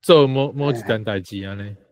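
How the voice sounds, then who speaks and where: A man's voice: fairly low pitch, brisk, a little mellow. A man with a Taiwanese accent casually asking questions in a discussion and echoing back what he is told. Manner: inquisitive, echoes the answers. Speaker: interviewer asking about old words.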